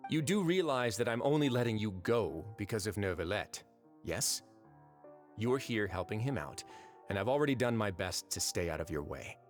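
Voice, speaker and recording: An actor playing a composed, sardonic lord of a fortress in a video game, speaking in a measured, wry, politely threatening way. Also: male voice, lowish voice, very animated, faint music behind. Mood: firm, challenging. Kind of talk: English video game voice acting.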